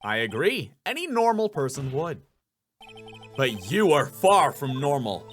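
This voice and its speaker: A man playing an earnest, determined young defense attorney in a courtroom game, emphatic and excitable. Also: medium pitch, measured pace, soft background music. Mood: accusing. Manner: emphatic, accusing, inner musing.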